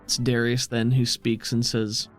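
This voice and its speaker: A man runs a tabletop roleplaying game as its game master. He sets out scenes in measured, descriptive narration. His voice sits at a middle pitch, with music playing under it. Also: monotone.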